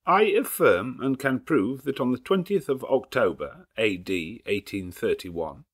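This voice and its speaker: Nasal, precise lawyer with a husky edge, pitched up from his usual register, as a male reader puts him on for a storybook. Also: big pitch swings, a little slow. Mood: formal. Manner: precise, official reading. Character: nasal, formal middle-aged male solicitor.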